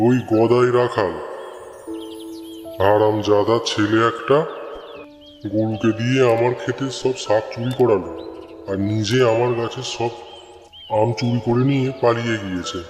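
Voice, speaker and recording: A man's voice: medium pitch, fairly even intonation, measured pace, long pauses, darkish tone, lightly acted. A man playing a grumpy, scolding old toothless village grandfather in a children's cartoon. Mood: indignant. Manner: scolding, grumbling, exclaiming. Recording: echoey reverb, music behind.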